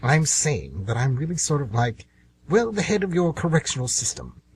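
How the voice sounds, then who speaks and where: Male actor, scheming irritable Devil, amateur radio comedy, lively low delivery, hum and noise in the recording. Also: moderate pace. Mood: persuading, businesslike. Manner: irritable, sardonic, bargaining. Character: the Devil, anxious, aggrieved, scheming businessman.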